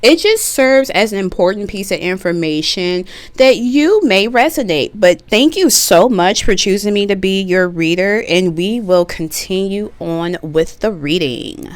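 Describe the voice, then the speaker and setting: Slow, hoarse woman tarot reader. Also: mid-range voice. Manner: slow, relaxed, chatty with slang. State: slightly hoarse with a cough. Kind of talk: pick-a-card tarot reading.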